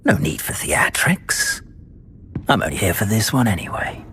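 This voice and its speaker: An actor playing a sneering dark wizard gang boss, condescending and theatrical, in a fantasy game. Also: male voice, on the low side, sing-song, moderate pace, slight background noise. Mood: sneering, veiled threat.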